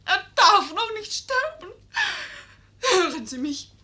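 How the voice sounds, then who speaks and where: A woman's voice: extremely high pitch, very animated, slow, bright, forceful tone, full character voice. An actress playing a clever, coaxing young lady in a 1950s German radio play, theatrical and persuasive. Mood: pleading.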